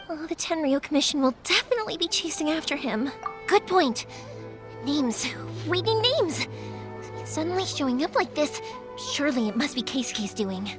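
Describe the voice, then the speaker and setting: Spirited young game heroine, high voice, earnest, caring, music. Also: female voice. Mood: worried. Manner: earnest and caring, quick to worry. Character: warm, spirited young fireworks-maker woman. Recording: game dialogue over loud music.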